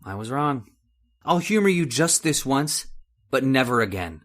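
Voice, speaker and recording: A man playing a selfish, middle-aged scientist husband in a radio horror play. His pitch swings widely as he talks, stern and impatient, with a condescending edge to his words. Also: sing-song, unhurried, fairly bright tone. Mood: scornful, impatient. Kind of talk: old-time radio horror acting.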